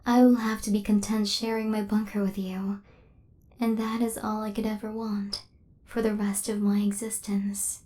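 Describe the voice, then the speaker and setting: Woman acting as female robot, ASMR roleplay, warm dark tone, flat intonation, whispery, measured, formal, tenderly devoted. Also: mid-range voice, lightly acted. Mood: tender, resigned. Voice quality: soft, often whispery. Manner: measured, formal, literal phrasing without contractions. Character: affectionate female killer robot, literal-minded, devoted to her human. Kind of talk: ASMR roleplay, intimate scripted monologue.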